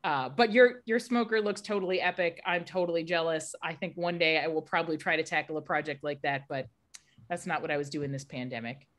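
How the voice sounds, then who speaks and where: A woman's voice: sits low, fairly even intonation, brisk, fairly bright tone. Upbeat woman hosting a webinar. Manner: upbeat, chatty, candid. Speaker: webinar host. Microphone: video call.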